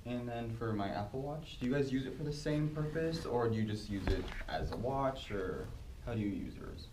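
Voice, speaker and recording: A twenty-year-old man casually moderating a student focus group, relaxed and chatty, laughing easily. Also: medium pitch, normal speed. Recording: echoey room, mic at a distance, faint hiss.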